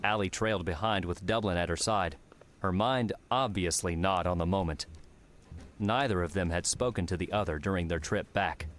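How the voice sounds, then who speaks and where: Low-voiced male audiobook narrator. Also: moderate pace, a little hiss or hum. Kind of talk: scripted dramatic story narration.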